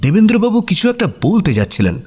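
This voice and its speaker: A man acting a detective's puzzled, admiring friend who narrates a mystery audio story in an expressive, suspenseful way. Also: high voice, fast, husky, heavily acted. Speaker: narrator and sidekick character. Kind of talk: dramatized first-person audio story narration. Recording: music behind the voice. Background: music.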